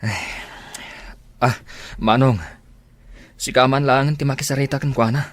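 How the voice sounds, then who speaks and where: A man's voice: medium pitch, fairly even intonation, unhurried, no room sound, close to an everyday voice. Weary, put-upon Ilocano husband played by a man, close dry sound. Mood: exasperated. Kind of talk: radio drama acting.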